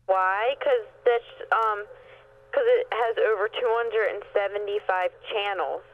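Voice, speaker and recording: A woman speaks as a customer service agent on a call. She comes through a tinny, band-limited phone line with a middling pitch for her explanations. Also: measured pace. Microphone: telephone.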